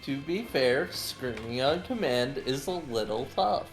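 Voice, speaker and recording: A man chatting casually as co-commentator on a game livestream, with deadpan jokes. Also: mid-range voice, unhurried, soft background music. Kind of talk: casual chat.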